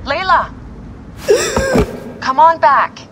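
A woman playing a bossy, sardonic, protective medical officer in a video game cutscene, brisk and wry. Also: sits high, very animated, lo-fi sound, music in the background, heavily acted. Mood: urgent.

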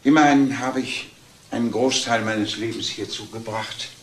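An actor playing a formal, wistful older businessman, with halting, careful phrasing, in a crime drama. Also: mid-range voice, fairly even intonation, noisy background, close to an everyday voice.

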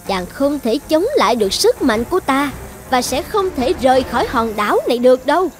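Possessive young island witch for a cartoon, highish voice, bossy and coaxing, bit of music under it. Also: lively intonation, brisk. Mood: threatening. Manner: commanding, self-assured. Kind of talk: dubbed fairy-tale cartoon acting. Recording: music behind the voice.

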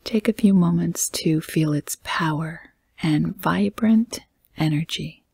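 A woman with a very breathy, almost whispered voice leads a guided meditation. Her pitch is low and her delivery is slow and gentle, with calm pauses between short phrases. The sound is close and dry. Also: no echo.

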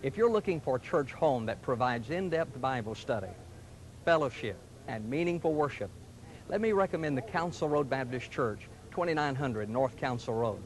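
A middle-aged man, a pastor, speaking in an earnest, gentle, inviting tone in scripted television ads from the 1980s. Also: mid-range voice, animated, normal speed, rough recording. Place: studio sets and church rooms, indoors. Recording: 1980s local TV ad audio.

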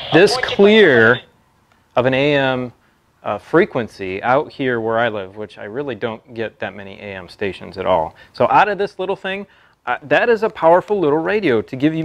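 An American man in his thirties giving a casual product review to camera in a halting, conversational way. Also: medium pitch, a bit bright. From Texas, United States. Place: open metal-roofed stable, seated at a pickup tailgate.

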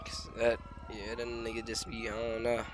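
American man, medium pitch, casual gameplay chat, slangy, profane, repeated phrases, noisy siren-filled game audio. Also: monotone, moderate pace. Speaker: game streamer.

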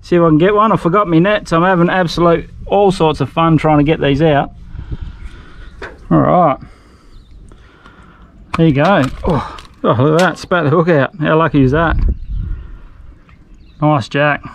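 A man's voice: fairly high pitch, fairly even intonation, normal speed, long pauses, a little hiss or hum. A man with an Australian accent talking casually to an action camera while fishing from a small boat in a creek, chatty and swearing now and then. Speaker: fishing vlogger. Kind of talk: casual outdoor narration to camera.

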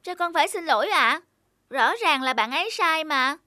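A woman's voice: high-pitched, expressive, normal speed, bright, forceful tone, dry recording, clean studio sound. A woman voicing a plaintive, insistent little girl in a cartoon, longing for her mother. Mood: defiant. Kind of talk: cartoon voice acting.

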